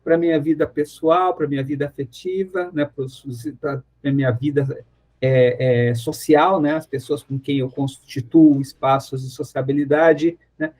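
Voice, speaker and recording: Brazilian man, halting and reflective in interview. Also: a little high, fairly even intonation, darkish tone. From interior of São Paulo state, Brazil. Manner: halting, searching for words, repeats phrases. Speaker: professor, interview guest. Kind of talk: reflective conversational radio interview.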